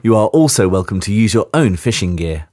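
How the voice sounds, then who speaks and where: A man narrating a scripted safety briefing in a clear, measured instructional manner. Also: lowish voice, expressive, darkish tone, no echo, clean studio sound.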